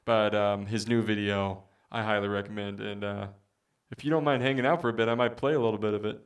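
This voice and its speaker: Chatty baritone male streamer, rambly and loose, low voice heard from some distance. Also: fairly even intonation, brisk, smooth. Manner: rambling, chatty, many fillers, reads out viewer comments. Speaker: musician streamer. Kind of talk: casual livestream chat.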